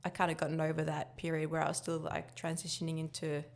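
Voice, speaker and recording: A woman's voice: sits low, quick pace, vocal fry. A young woman whose first language is Turkish, chatting easily as a guest on a podcast interview. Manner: relaxed, chatty answers. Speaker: guest, building cost estimator.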